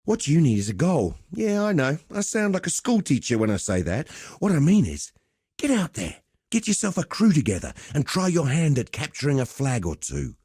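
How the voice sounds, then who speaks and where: A man's voice: on the high side, huge pitch swings, normal speed, darkish tone, breathy edge, no echo, studio quality, full character voice. A man playing a cocky, easygoing gladiator master in a video game, teasing with wry, conversational swagger. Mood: rousing, wry. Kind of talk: video game character dialogue.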